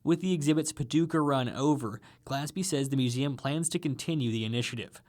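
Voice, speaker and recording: A man's voice: mid-range voice, fairly quick, darkish tone. A man narrating a scripted radio feature as a reporter.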